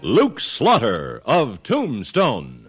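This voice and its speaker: Grand, very animated American radio announcer. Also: male voice, mid-range voice, very slow, over loud music. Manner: grand, declamatory. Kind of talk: dramatic 1950s radio announcing.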